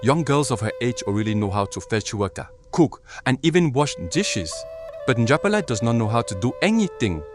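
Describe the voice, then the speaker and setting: Man as disapproving father, reproachful, questioning, folktale drama, clear background music. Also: medium pitch, very animated, moderate pace, close to an everyday voice. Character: disapproving father worried about his spoiled daughter. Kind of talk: acted folktale dialogue.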